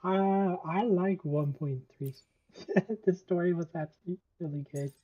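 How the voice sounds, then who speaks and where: A man's voice: sits high, measured pace, slightly rough, highs cut off. A man streaming a video game, giving casual, informal and reactive commentary with frequent exclamations.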